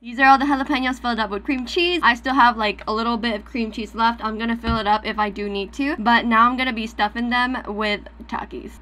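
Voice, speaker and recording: A woman in her twenties chatting casually as she cooks and eats on camera, full of "like" fillers, sometimes dropping to a soft whisper. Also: fairly high pitch, steady tone, a little fast, few pauses. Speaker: mukbang creator. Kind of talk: casual commentary while cooking and eating. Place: small indoor room with dark backdrop.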